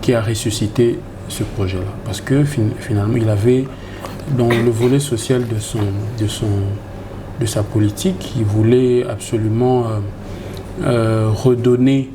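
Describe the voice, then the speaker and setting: A man from the Congo with a Congolese French accent, a reform coordinator answering interview questions in a formal, halting way with many fillers. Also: mid-range voice, fairly even intonation. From Democratic Republic of the Congo. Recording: noticeable background noise.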